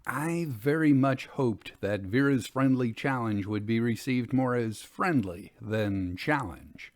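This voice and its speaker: A man narrating a scripted first-person story in a measured, expressive, literary manner. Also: medium pitch, no echo.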